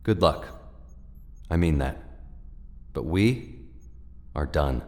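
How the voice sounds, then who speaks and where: A man's voice: rumbling low, lively intonation, slow, a little mellow, exaggerated voice. A man playing a stern, protective father in an audio drama, blunt and commanding. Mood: resolute. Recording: long hall reverb.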